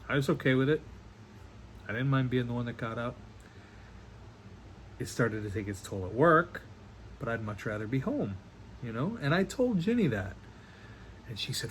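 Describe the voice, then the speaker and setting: A man's voice: mid-range voice, a bit bright, lightly acted. Man acting a doting, rambling father, noisy recording. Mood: hesitant, earnest, then defensive, hurt. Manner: halting, earnest, rambling, with nervous stammers. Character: doting, rambling middle-aged father confessing to his daughter. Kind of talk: one-act play monologue performed on video call. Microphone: video call. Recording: noisy video call audio.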